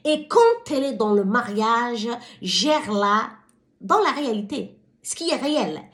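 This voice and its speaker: A woman from Somalia hosting her own wellbeing vlog, speaking to viewers in a hesitant but impassioned, exhorting way. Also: on the high side. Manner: hesitant, stumbling over words. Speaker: channel host.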